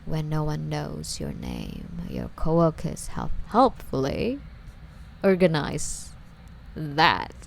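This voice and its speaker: A woman playing an obsessive, sweetly menacing female stalker in an ASMR roleplay, coy and breathy, often slipping toward a whisper as she coddles her captive. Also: low voice, sing-song, takes it slowly, a little hiss or hum, exaggerated voice. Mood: possessive affection.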